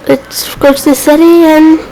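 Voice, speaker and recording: A woman giving casual gameplay commentary in a hesitant, halting, apologetic way, with a bit of a cough. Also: sits high, level tone, mellow, low-quality recording. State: has a cough. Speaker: gaming video creator. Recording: faint background noise.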